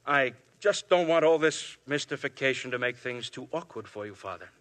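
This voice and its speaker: A man's voice: medium pitch, expressive, moderate pace, a bit bright, close to an everyday voice. A man playing a shrewd, persistent police inspector in a 1950s radio drama, polite but pressing. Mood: probing.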